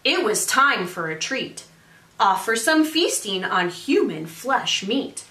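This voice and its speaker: A woman's voice: on the high side, very animated, takes it slowly, sharp tone. A woman in her thirties, a teacher, reading a children's storybook aloud expressively. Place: small indoor room with brick wall. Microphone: camera a few feet away. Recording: echoey room, mic at a distance.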